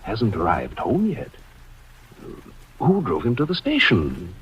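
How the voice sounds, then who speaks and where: A man's voice: fairly low pitch, huge pitch swings, slow pace, slight background noise, exaggerated voice. A man playing a polite, inquisitive house guest in an old-time radio suspense drama. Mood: polite, suspicious. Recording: dull vintage radio recording.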